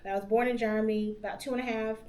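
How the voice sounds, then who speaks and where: A woman, a podcast guest chatting about her childhood in a warm storytelling way and laughing easily. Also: a little high, fairly even intonation, racing. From Alabama. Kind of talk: interview storytelling.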